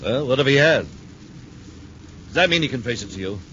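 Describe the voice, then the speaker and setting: An actor playing a suspicious, domineering criminal boss in a 1950s radio serial. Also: male voice, medium pitch, animated, fairly quick, fairly bright tone, lightly acted. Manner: domineering, interrogating. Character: large, menacing, suspicious male criminal boss. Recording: noisy, muffled 1950s radio recording.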